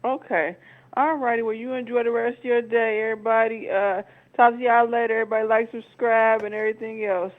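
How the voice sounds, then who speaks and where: A man calling in by phone to a live stream, chatting with warm teasing and drawn-out signoffs. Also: very high-pitched, flat delivery. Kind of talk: casual chat. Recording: tinny phone line.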